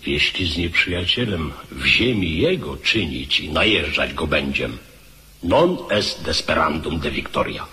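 A man's voice: very deep voice, animated, measured pace, sharp tone, heavily acted. A man playing a grave royal counsellor in a radio drama, urging war with emphatic oratory. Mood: grave, urgent.

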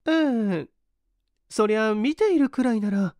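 A woman plays a young woman in an audio story, high-pitched and prim. She is proud and prickly, with anxious, old-fashioned feminine manners and a lively swing in her delivery. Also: unhurried, no echo, studio quality. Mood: prim, impatient.

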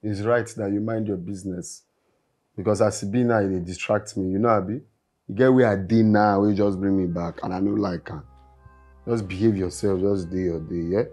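A man's voice: lowish voice, fairly even intonation, moderate pace, a little mellow, faint music behind, close to an everyday voice. Man acting a blustering Nigerian kidnapper. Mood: irritated, subdued. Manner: blustering, repetitive, scolding. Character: volatile male kidnapper, a frustrated, boastful aspiring musician. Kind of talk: film acting, speaking Nigerian Pidgin.

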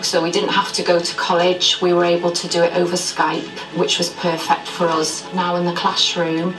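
A woman's voice: on the low side, monotone, normal speed. A woman teacher speaking in a plain, modest way about learning a language. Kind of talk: interview in a school promo film. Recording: roomy, far off, with music.

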